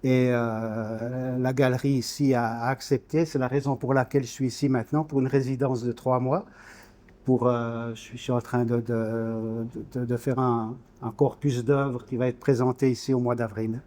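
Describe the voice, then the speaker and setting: A man's voice: mid-range voice, little pitch movement, normal speed, warm tone. A man in his sixties from Switzerland, an interview guest talking informally, searching for words and repeating small ones as he goes. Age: 60s. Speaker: guest, painter.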